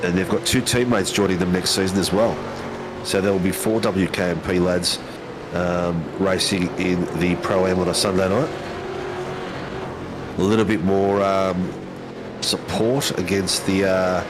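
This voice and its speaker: A man's voice: low voice, fairly even intonation, a little slow, background noise. An Australian man commentating a sim race in a casual, matey way, with plenty of ums and uhs.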